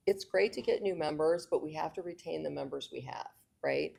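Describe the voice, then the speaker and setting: A woman's voice: low-pitched, a little fast, gravelly, warm tone, breathy edge. A woman serving as district governor, giving a speech at a club meeting, hesitant with many fillers and restarts, and losing her voice.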